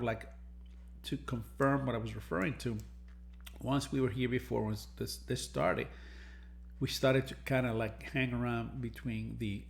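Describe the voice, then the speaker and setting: A man's voice: medium pitch, creaky, slight background noise. A man hosting a market analysis lesson, explaining charts in an explanatory way and repeating phrases for emphasis. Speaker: trading educator and market analyst host. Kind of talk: premarket market analysis over charts, semi-scripted explanatory talk.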